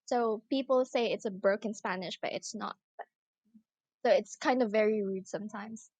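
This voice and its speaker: A woman guest on a podcast, telling lively stories in casual chat. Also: medium pitch, moderate pace, no echo.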